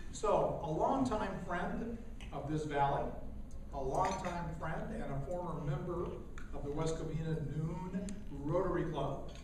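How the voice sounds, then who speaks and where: A man speaking at a club meeting and introducing a guest, halting at times and repeating words. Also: a little high. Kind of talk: speech and introduction. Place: meeting room. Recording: echoey room from far off, noisy.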